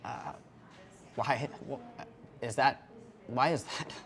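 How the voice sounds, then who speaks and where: A man's voice: medium pitch, slow pace. A man hosting an interview, asking friendly, easygoing questions in casual chat. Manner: relaxed, friendly questions with easy agreement. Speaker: host. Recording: background noise on location.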